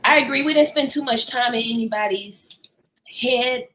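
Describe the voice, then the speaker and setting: Bright, high-voiced woman over background noise. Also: normal speed. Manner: playful, slangy, animated reactions. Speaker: book discussion host. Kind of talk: casual book club chat.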